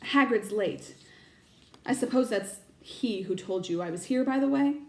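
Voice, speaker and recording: Woman playing a calm, kindly old wizard. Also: on the high side, roomy sound. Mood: calm, firm. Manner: calm, measured, genial.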